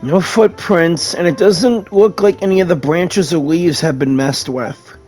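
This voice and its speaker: A man's voice: on the high side, a little slow, a little mellow, faint music behind. A man playing a video game, reading its dialogue aloud and commenting along.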